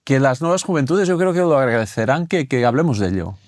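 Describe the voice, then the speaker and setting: A man's voice: medium pitch, big pitch swings, quick pace, no room sound, clean studio sound. A middle-aged Portuguese man who speaks Spanish with a slight Galician accent, a podcast guest telling his story in a self-deprecating way and often repeating words as he thinks. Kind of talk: casual podcast interview.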